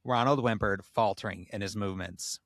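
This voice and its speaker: A man with a fairly low voice reads a steamy comic story aloud as a podcast host. His narration is expressive and the recording is dry and close, with little room sound. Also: a little fast, no echo. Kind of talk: comedic reading of erotic fan fiction.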